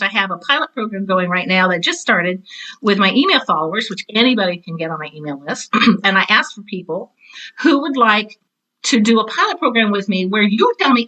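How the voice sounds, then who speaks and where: Bright, emphatic woman explaining her work. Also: mid-range voice, expressive, moderate pace, poor audio. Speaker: podcast guest, drama teacher and author of teaching materials.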